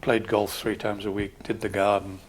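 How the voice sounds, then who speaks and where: A man in his sixties with a Geordie accent, a local councillor calmly answering interview questions in a measured, matter-of-fact way. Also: lowish voice, slight background noise. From Scotland, raised in Newcastle area. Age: 60s.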